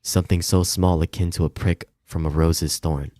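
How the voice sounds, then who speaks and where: A man reading a visual novel aloud as dramatic, measured narration. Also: sits low, fairly even intonation, dark tone, no echo, studio quality.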